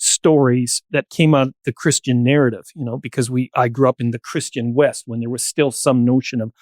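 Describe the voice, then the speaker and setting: A man's voice: mid-range voice, steady tone, a little fast, darkish tone, no echo. A man speaking as a panel guest, explaining ideas in a discursive, professorial way with examples. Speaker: podcast guest.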